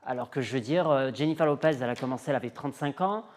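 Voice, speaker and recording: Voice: mid-range voice, very fast. A self-assured interview guest from the south of France, talking candidly and emphatically and repeating phrases for effect. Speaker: guest, music artist.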